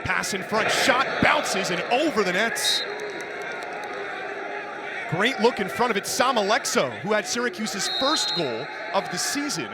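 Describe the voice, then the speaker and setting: A man doing live sports play-by-play with an excitable, punchy delivery. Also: high-pitched, a little slow, long pauses. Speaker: play-by-play announcer. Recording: loud arena noise behind commentary.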